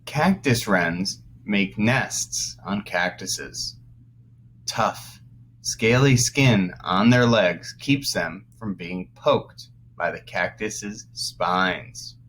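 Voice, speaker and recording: Slow-paced man reading aloud to children. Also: on the low side, fairly bright tone, slight background noise, low-quality recording. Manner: explanatory, pausing to clarify words. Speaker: first-grade teacher. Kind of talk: read-aloud lesson for young children.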